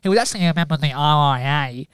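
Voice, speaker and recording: A man improvising a nerdy ancestry researcher who hesitates and apologises a lot. Smooth clear sound. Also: a little high, racing, fairly bright tone, dry recording, clean studio sound, close to an everyday voice. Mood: fumbling. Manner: hesitant, full of ums and apologies.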